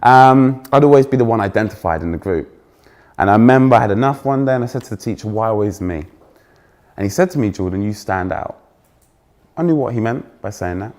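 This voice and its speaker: Young English male keynote speaker, fairly posh accent, loud, energetic, teases the crowd. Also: on the low side, a little fast. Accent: trained-out Essex, now fairly posh English. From England. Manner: energetic, joking with the audience, self-deprecating. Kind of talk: conference keynote talk. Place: stage before a live audience.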